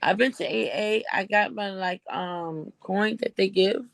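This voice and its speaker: A woman in her forties with a raspy voice, speaking earnestly and a bit apologetically on a video support call. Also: medium pitch, unhurried, dry recording. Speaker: support group member. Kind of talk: casual group chat.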